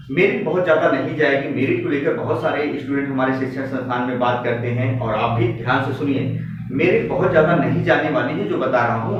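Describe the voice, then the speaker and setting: A man speaking as a coaching teacher, giving students an exam update in an emphatic, exhorting way with repeated phrases. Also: medium pitch. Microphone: distant microphone. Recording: very echoey room, distant muffled mic, faint noise.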